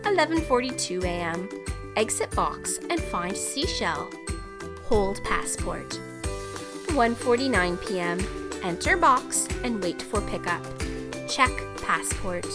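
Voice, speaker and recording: A woman reading aloud to young children in an expressive, emphatic storytelling style. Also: medium pitch, slow pace. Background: children's music.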